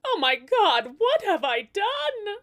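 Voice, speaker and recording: Woman as high, flustered queen mother. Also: big pitch swings, slow pace, full character voice. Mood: shocked. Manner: dramatic, incredulous. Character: flustered, worried queen mother, easily shocked.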